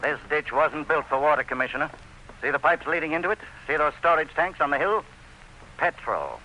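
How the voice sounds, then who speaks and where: A man acting as a sardonic, supremely confident plantation boss in a radio drama, with a brisk, cocky, commanding manner. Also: a little high, animated, sharp tone. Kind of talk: theatrical radio drama dialogue. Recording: noisy radio drama recording with ambience.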